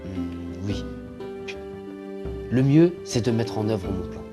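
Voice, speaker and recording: A greedy sneaky cartoon coward, pleading or plotting, music under him. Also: male voice, lowish voice, animated, slow pace. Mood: scheming. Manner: placating and pleading aloud, conspiratorial when plotting alone. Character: greedy cowardly scheming male treasure finder. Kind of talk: French-dubbed cartoon acting.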